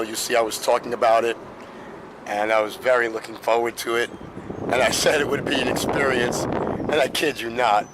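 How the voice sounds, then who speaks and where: Hoarse guy in his 50s doing a chatty vlog, lots of car and outside noise. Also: mid-range voice, fairly bright tone. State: hoarse, losing voice. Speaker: vlogger. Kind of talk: casual vlog chat. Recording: noisy car and outdoor ambience.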